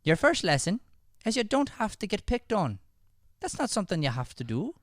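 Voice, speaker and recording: A man's voice: sits high, wildly swooping pitch, a little fast, no echo, clean studio sound. A man voicing an encouraging Irish teacher, giving instructions and repeating himself in improvised radio comedy. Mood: instructing, firm. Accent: Irish, put on. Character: coaxing, encouraging Irish teacher of shy youngsters. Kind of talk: improvised old-time radio comedy play.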